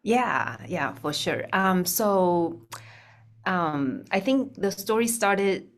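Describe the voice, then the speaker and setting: A woman from Taiwan, a speech-language pathologist, talking through her story in a video call interview with many pauses and ums. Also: fairly low pitch, expressive. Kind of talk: casual interview talk. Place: bright room indoors.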